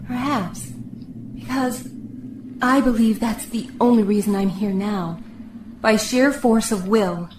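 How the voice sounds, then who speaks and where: An actress playing a mysterious, calm woman imprisoned in the dark in an audio drama, speaking in measured, enigmatic replies. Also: medium pitch, close to an everyday voice. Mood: calm, reassuring. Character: mysterious, calm female fellow prisoner in the dark. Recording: echoey, noisy recording with ambience.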